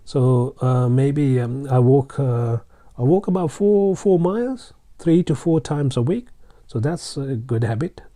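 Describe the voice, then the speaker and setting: Sri Lankan man with a very dark voice. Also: mid-range voice, lively intonation, measured pace. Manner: repeats and restates phrases, frequent fillers; encouraging, direct address to learners. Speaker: English teacher. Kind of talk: casual spoken lesson.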